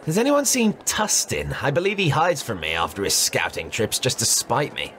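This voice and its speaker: An actor playing an impatient, authoritative soldier leader in a fantasy audio drama, with music behind him. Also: male voice, medium pitch, huge pitch swings, moderate pace, a bit bright, slight background noise. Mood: brisk. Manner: curt, exasperated, authoritative. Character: commanding, impatient young-to-middle-aged male soldier leader. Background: background music.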